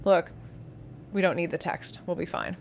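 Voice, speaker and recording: A woman's voice: on the low side, big pitch swings, normal speed, gravelly, slight background noise. An actress playing an eager, teasing young woman amateur detective in an audio drama, talking in a casual, wry way. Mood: breezy, teasing. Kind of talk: scripted audio drama dialogue. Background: background music.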